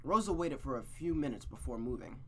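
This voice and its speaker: Expressive man reading aloud over background noise. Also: mid-range voice, brisk. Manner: expressive, reactive, sweary, stumbles and rereads lines. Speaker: streamer reading a visual novel aloud. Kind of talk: dramatic story reading with casual asides.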